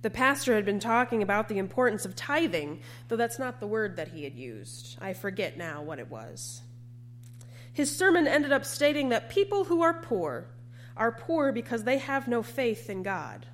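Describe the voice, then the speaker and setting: A woman preacher delivering a sermon in a measured, emphatic way, with deliberate repetition. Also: on the high side, big pitch swings, a little hiss or hum.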